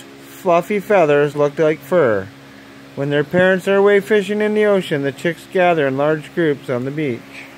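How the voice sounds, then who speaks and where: Higher-voiced man reading a bedtime story. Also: slight background noise, rough recording. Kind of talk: bedtime story narration for children.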